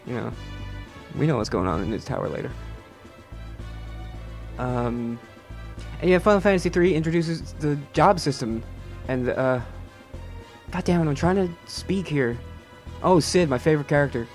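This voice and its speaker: A man's voice: fairly high pitch, expressive, moderate pace, pauses often, darkish tone, faint music behind. A man giving casual, profane game commentary as a let's play host, irreverent and sardonic.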